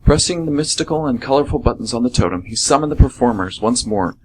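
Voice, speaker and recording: A man with a medium-pitched voice narrates a story for radio theatre. He reads from a script, with faint noise in the background. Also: lively intonation, fast talker, darkish tone, low-quality recording.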